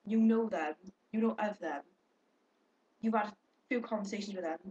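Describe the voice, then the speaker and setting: A woman's voice: mid-range voice, moderate pace, darkish tone, dull audio. A young woman chatting casually to her webcam, swearing freely and talking to viewers. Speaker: vlogger.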